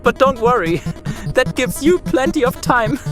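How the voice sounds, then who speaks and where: A woman's voice: high-pitched, sing-song, a little slow, music in the background. A woman voicing a silly, teasing puppet sidekick bantering in a children's show.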